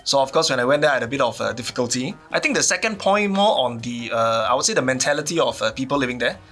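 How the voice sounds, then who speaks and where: Quick, bright-voiced Singaporean man. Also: medium pitch, lively intonation, faint music behind. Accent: Singaporean English. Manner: recounting, with repeated restarts. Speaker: guest. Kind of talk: casual panel chat.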